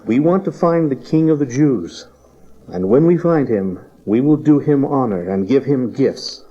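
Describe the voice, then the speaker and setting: A clever, haughty young sage in a dramatized Bible. His dark, smooth-edged tone sits at a middle pitch and delivers pointed, lofty speeches. The tape sounds slightly muffled. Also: animated, measured pace. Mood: firm, courteous. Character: proud young male magus, haughty, clever Eastern sage. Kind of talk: dramatized Bible audio acting. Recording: dull cassette tape audio drama.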